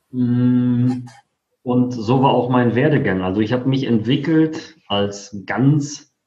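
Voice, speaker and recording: A man's voice: fairly low pitch, mellow. Casual forty-year-old man in a roomy space. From born in Poland, grew up in Germany. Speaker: interview guest, affiliate marketer. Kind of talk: casual autobiographical telling. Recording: roomy, slightly dull sound.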